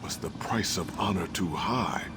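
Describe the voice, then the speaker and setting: An actor playing an ancient, wise and haunted warrior spirit in a video game, speaking in a grave, measured, formal way. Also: male voice, low-pitched, heavy background noise. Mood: grave, mournful. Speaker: game character posing moral tests. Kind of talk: scripted video game voice acting. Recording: music under the voice.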